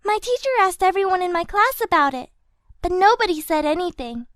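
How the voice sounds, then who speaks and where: A woman's voice: very high-pitched, lively intonation, a little fast, fairly bright tone, dry recording, studio quality, pitched above the performer's own voice. A woman voicing a worried little cartoon schoolgirl, earnest and childlike, explaining things carefully. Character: worried little schoolgirl confiding in her grandmother.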